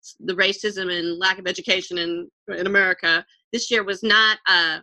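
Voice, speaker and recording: A woman co-hosting a casual commentary chat, measured and wry, with frequent restarts. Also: fairly low pitch, steady tone, sharp tone, no room sound, rough recording.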